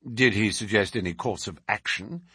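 A man's voice: lowish voice, moderate pace, sharp tone, breathy edge, dry recording, clean studio sound, exaggerated voice. A man voicing a cool adult male detective who asks precise questions. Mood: probing. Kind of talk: audiobook character reading.

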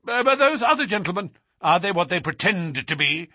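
A man's voice: fairly high pitch, moderate pace, slightly rough, no room sound, studio quality, heavily acted. A man voicing a nervous, fearful fat man with a reedy, quivering voice for an audiobook story. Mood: frightened. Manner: stammering, anxious, excitable. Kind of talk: audiobook character voice.

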